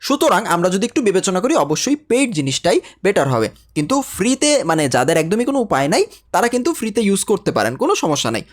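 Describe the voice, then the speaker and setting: A man's voice: high-pitched, big pitch swings, very fast. A man with a Bangladeshi accent teaching a tutorial in a chatty, direct way, repeating instructions for emphasis. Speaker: animation teacher. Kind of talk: tutorial lesson with casual direct address.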